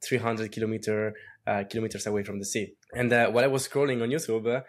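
A man's voice: fairly low pitch, flat delivery, fast talker. A man in his twenties from Italy with an Italian accent, co-hosting a podcast over a video call and talking in a friendly way with many fillers, from a small room at home. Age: 20s. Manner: friendly, lots of filler words and light laughs. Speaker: podcast co-host. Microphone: video call with earbuds.

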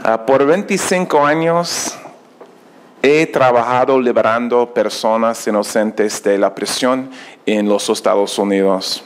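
A middle-aged man with an American English accent, a native English speaker, giving a prepared, earnest keynote at an auditorium podium. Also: medium pitch. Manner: slow, careful, earnest delivery. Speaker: keynote speaker, project director. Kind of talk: prepared speech in a second language. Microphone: podium microphone.

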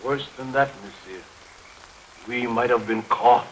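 An actor playing a grave, fearful coach driver in a 1930s horror film, with a solemn, deliberate delivery. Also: male voice, mid-range voice, lightly acted. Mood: ominous, solemn. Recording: noisy 1930s film soundtrack under rain. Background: rain and thunderstorm.

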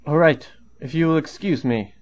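A man's voice: fairly high pitch, moderate pace, muffled recording, very noisy, lightly acted. Man acting a brusque, curt street character. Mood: stiff, formal. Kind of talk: amateur anime fan dub acting.

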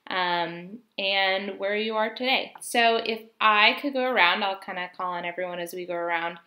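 A young woman leads a video call panel in a friendly, loose way with many fillers. Her voice is bright and clear. She speaks through a plain webcam microphone. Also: medium pitch, normal speed. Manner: friendly, filler-heavy, organizing. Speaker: moderator. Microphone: webcam mic on video call.

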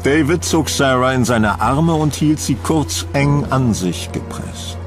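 A man narrating a horror audio drama in a dramatic, deliberate storytelling manner, as a brooding gothic storyteller. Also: mid-range voice, over music, close to an everyday voice. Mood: suspenseful.

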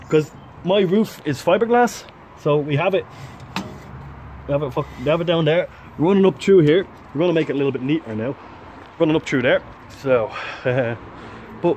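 A man's voice: on the high side, a little mellow. An Irish man in his thirties chatting casually to a handheld camera, rambling in a playful, informal, sweary way. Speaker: vlogger. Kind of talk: casual, rambling vlog chat. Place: mostly outdoors by a parked van. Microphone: handheld camera or phone. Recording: outdoor handheld recording with faint noise.

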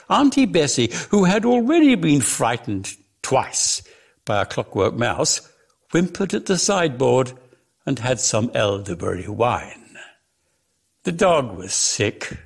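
A man giving a dramatic literary reading as storyteller, with theatrical, deliberate, emphatic phrasing. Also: medium pitch, vocal fry, husky. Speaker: reader of a story.

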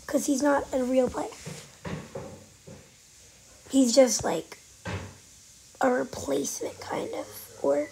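A woman with a cold giving casual, excitable commentary while playing a game, sometimes dropping to a whisper. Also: high voice, vocal fry, poor audio. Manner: chatty, excitable, trailing off into whispers. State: has a cold. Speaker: gaming channel host. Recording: faint background noise.

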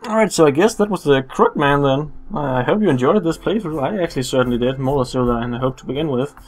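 A man's voice: fairly high pitch, quick pace. A young man giving casual, joking game commentary in a playful, self-mocking way. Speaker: let's play host.

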